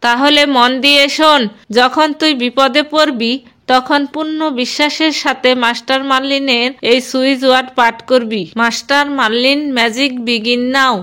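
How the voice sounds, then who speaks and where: Woman as a high-voiced wise cartoon aunt. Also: fairly even intonation, moderate pace, pushed and bright, clean tone. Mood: reassuring, instructive. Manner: explanatory, fondly chiding. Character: wise, reassuring magician aunt of a young girl. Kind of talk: Bengali cartoon voice acting.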